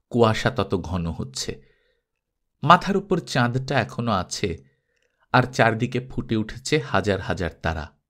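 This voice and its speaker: A man reading a literary story aloud as an audiobook narrator, in an expressive, dramatic storytelling manner. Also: on the low side.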